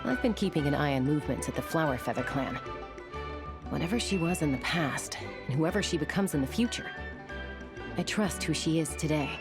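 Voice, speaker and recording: A woman's voice: low voice, animated, a little fast. An actress voicing a bold, loyal young woman scout who talks with confident, defiant conviction. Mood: resolute. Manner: confident, defiant and warm-hearted. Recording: game soundtrack music behind.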